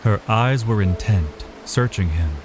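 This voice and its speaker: A man's voice: fairly low pitch, a little slow, background noise. A man narrating a scripted fantasy story in a dramatic style.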